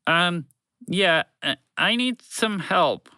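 A man's voice: a little high, animated, moderate pace, pushed and bright, no room sound, studio quality, voice pushed up. A man voicing a bumbling, flustered alien announcer who rambles and corrects himself in a children's story. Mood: panicked pleading. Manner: hesitant, rambling, self-correcting.

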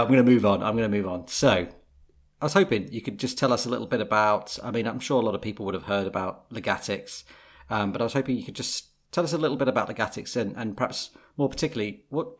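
Man, podcast host, fairly low voice, chatty interview style, casual fillers. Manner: chatty, laughs easily, fillers.

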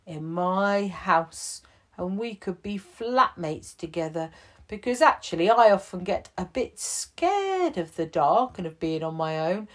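A woman's voice: fairly low pitch, pitched above the performer's own voice. A woman voicing a patient, kind male prison chief in a bedtime story. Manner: gentle, reassuring.

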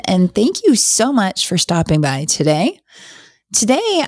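A middle-aged woman hosts her own podcast in a candid, confessional tone. Her voice sits a little low and carries a slight hoarseness. She is recorded close and dry, with no room sound. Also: a little mellow, no room sound. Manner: open, confessional, conversational. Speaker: podcast host. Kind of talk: casual solo podcast talk.

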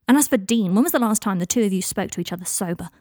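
A woman's voice: medium pitch, animated, very fast, warm tone, dry recording, studio quality, natural voice. A woman voicing a hurt, exasperated young man in an audiobook story, pleading and accusing with emphasis. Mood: bitter, accusing.

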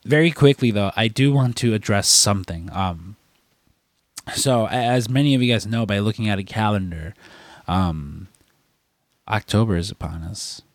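A man's voice: lowish voice, normal speed, no echo. A young man chatting casually as a podcast co-host. He is congested from a cold and rambles with lots of fillers and swearing. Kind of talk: casual chat.

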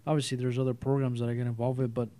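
A man hosts a casual interview with a voice at an ordinary middle pitch. He talks in a relaxed, conversational way and keeps affirming what his guest says. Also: rapid-fire, smooth. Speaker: interview host.

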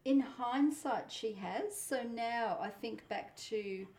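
A middle-aged woman who grew up speaking Polish, talking thoughtfully in a relaxed interview while cooking, with the odd soft laugh. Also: a little high, reverberant. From Australia. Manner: thoughtful, measured, laughs softly. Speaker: interviewee, home cook. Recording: faint background noise.

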